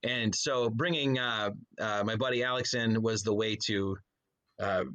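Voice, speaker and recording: A man's voice: fairly low pitch, measured pace, fairly bright tone, muffled recording. A man talking casually as a guest in a podcast interview, rambling and often interrupting himself with asides. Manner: rambling, self-interrupting storyteller with frequent trailing asides.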